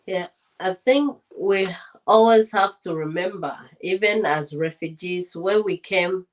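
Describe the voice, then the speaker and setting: Low-voiced earnest woman guest. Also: unhurried, low-quality recording. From Congo. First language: Swahili. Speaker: interview guest, politician and former translator. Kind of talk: conversational interview.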